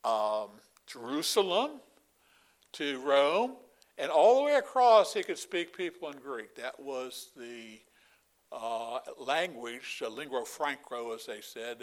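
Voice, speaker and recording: An older man preaching a Bible lesson in a folksy way, with many fillers and asides. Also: a little high. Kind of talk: Bible teaching sermon.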